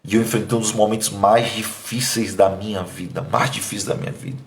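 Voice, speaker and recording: A man's voice: steady tone, fairly quick, gravelly, reverberant, a little hiss or hum. A man in his thirties from Bahia, Brazil, preaching a sermon and sharing his testimony in an emphatic, pleading way. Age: 30s. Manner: emphatic, pleading, voice dropping low and soft when emotional. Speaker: pastor.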